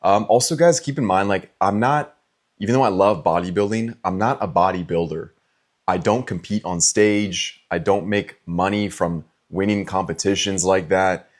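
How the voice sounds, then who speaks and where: A man in his twenties from California, answering fan questions in a relaxed, chatty way with frequent ums and likes. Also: low-pitched, normal speed. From California, USA. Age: 20s. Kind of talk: casual Q&A vlog.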